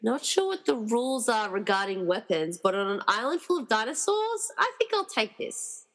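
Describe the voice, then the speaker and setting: A woman's voice: fairly high pitch, very animated, moderate pace, fairly bright tone, big-room sound. A woman playing an anxious but determined hero in a radio play, earnest and wryly muttering. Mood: wry, uneasy. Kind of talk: amateur radio-play acting.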